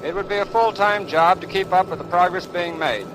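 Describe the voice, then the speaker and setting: A man giving formal, scripted remarks as a radio guest, declamatory and measured, on an old 1930s recording. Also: sits high, background noise. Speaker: guest aviation expert.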